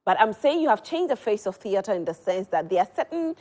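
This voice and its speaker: A woman's voice: mid-range voice, big pitch swings, quick pace, mellow. A woman with a Ghanaian accent hosting a morning TV show, chatting casually and emphatically with a guest. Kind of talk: casual interview chat.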